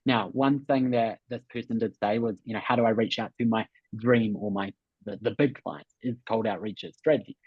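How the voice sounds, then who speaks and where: A man's voice: medium pitch, brisk, slightly rough. A man with a New Zealand accent hosting a coaching webinar, explaining emphatically and repeating key points with frequent check-ins. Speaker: host and business coach. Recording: muffled, roomy webinar audio.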